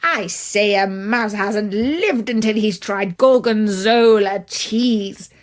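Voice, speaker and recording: A woman voicing a suave, pompous older uncle mouse, a gourmet gentleman from a storybook, with genteel and effusive speech. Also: mid-range voice, slow, lightly acted. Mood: enticing, jovial. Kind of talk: storybook character voice.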